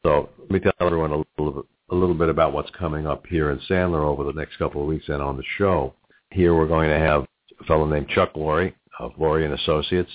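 A middle-aged man with a New York accent hosting a business talk show, halting and repeating words as he goes. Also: very deep voice, steady tone. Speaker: radio talk show host. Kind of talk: business interview and announcements. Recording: muffled narrow phone-like audio.

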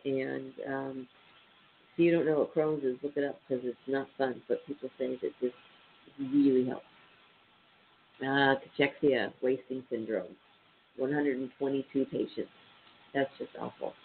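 A man's voice: medium pitch, steady tone, a little slow, long pauses. A man with a stuffy, nasal voice hosting a casual radio show, reading statistics aloud with many ums and uhs. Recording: tinny phone-line sound with faint hiss.

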